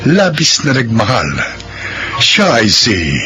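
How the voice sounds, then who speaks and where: A man announcing a Filipino radio drama in scripted, solemn and dramatic narration with measured phrasing. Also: mid-range voice, wildly swooping pitch. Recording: muffled radio broadcast, music behind.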